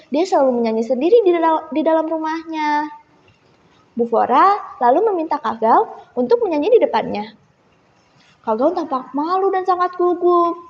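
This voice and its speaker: A young teenage girl, telling a scripted children's story expressively as a contestant. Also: high voice. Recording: muffled echoey hall recording.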